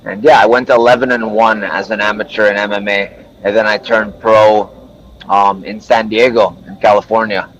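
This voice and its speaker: A young man raised in Japan, an MMA fighter, chatting in a relaxed, friendly way with lots of fillers, sore from hard training. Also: fairly low pitch, normal speed. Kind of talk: casual interview over a call. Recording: dull lo-fi audio with faint noise.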